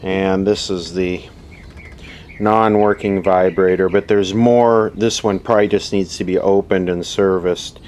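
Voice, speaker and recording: A man's voice: sits low, fairly even intonation, unhurried, darkish tone. A man giving a casual, unscripted, matter-of-fact repair demonstration at a workbench, recorded on a camera microphone. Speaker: vintage radio repair hobbyist presenting. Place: indoor workshop at a workbench. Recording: noisy amateur camera audio.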